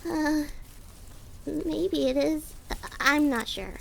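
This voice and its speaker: A woman's voice: high-pitched, slow pace, creaky, a little hiss or hum, exaggerated voice. A woman voicing a timid, anxious, nerdy female lizard monster in an audio drama, stammering and hesitant. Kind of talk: audio drama character acting.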